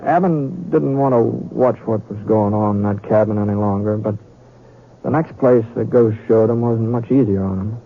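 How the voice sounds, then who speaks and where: An actor playing a homespun western storyteller, narrating a radio drama in a halting, conversational way. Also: male voice, on the low side, natural voice. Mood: folksy, wry. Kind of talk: old-time radio storytelling. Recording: tinny radio sound with crowd noise.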